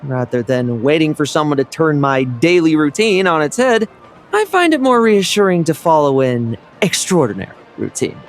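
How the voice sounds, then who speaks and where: A man's voice: a little high, sing-song, normal speed, soft background music. A man voicing a dry, wry, reluctant knight in a game cutscene.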